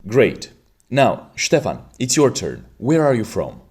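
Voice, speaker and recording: A man hosts an English exam-prep podcast and acts as examiner. His delivery is formal and teacherly, and his pitch moves a lot. His voice sits at a middle pitch for a man. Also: big pitch swings, takes it slowly, darkish tone, slightly rough. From Romania.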